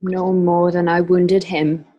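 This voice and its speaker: A woman playing an anguished, proud Roman noblewoman in an amateur radio drama, with a husky voice. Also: on the low side, little pitch movement, takes it slowly, mellow, lo-fi sound. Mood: guarded, cool.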